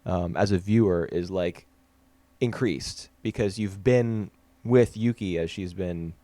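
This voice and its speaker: A man's voice: sits low, slow, dark tone, no echo. A man co-hosting a podcast, chatting casually and analytically with fillers and restarts. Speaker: podcast co-host. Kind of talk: casual anime discussion.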